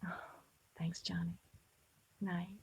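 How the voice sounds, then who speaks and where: A woman's voice: on the low side, little pitch movement, takes it slowly, creaky, slightly rough, exaggerated voice. A woman playing an earnest young woman, the host's daughter, in a 1950s radio drama. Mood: soft.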